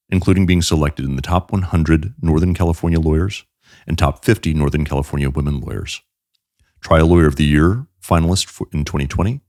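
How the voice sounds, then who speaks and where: Very deep-voiced male podcast host. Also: no room sound, clean studio sound. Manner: measured, asks questions. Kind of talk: interview podcast.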